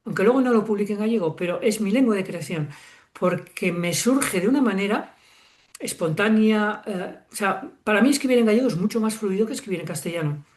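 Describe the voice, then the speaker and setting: A man's voice: high voice, animated, a little fast. A man in his sixties from Spain, a guest author talking reflectively in an interview, with frequent fillers and self-corrections. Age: 60s. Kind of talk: relaxed interview conversation.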